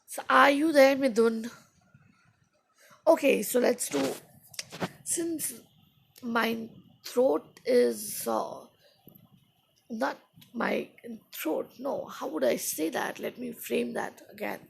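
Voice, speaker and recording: A woman English teacher talks casually to her live viewers with a husky, breathy tone and a heavy rasp underneath. She speaks slowly and haltingly, often stopping to reword what she just said. Her voice is a little worn today. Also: on the high side. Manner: slow, halting, stops to rephrase her own sentences. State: voice not at its best.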